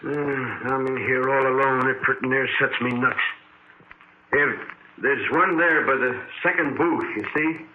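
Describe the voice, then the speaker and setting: An actor playing a jittery, superstitious bartender who mumbles in folksy, ungrammatical speech, in 1940s radio style. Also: male voice, mid-range voice, fairly even intonation, measured pace, close to an everyday voice. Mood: uneasy, muttering. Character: jittery, muttering bartender spooked by shadows. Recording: noisy, narrow-band old radio recording.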